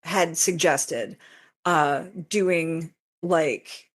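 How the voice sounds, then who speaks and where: Lower-pitched woman chatting casually. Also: very slow. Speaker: podcast guest, fan fiction author. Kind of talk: casual chat.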